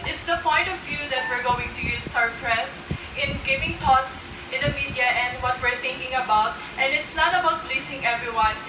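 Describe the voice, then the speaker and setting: A woman giving a formal, earnest answer as a pageant contestant on stage. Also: high-pitched. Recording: echoey hall from far off with music.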